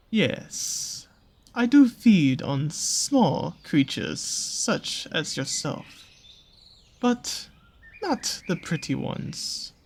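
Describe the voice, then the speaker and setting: An actor performs a seductive serpent-creature from a fantasy roleplay audio. The delivery is extremely slow, with drawn-out pauses and lively, swooping intonation. The predator coaxes and teases, showing a soft spot for small, pretty prey. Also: medium pitch, husky, exaggerated voice. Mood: coaxing, tender. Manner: slow, teasing, coaxing, with pet names and drawn-out pauses. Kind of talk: scripted roleplay audio drama addressed to the listener.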